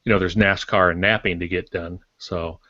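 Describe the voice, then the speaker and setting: Older male co-host, lowish voice, wry, measured, conversational, dull dry recording. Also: fairly even intonation, pushed and bright, dry recording. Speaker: podcast co-host and working writer. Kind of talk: casual podcast discussion.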